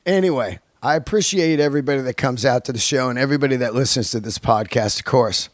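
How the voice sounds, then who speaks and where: A man's voice: medium pitch, fast, no echo, clean studio sound. A man in his early fifties hosting a podcast, chatting casually to his listeners in a laid-back, slangy, sweary way. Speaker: podcast host and stand-up comedian.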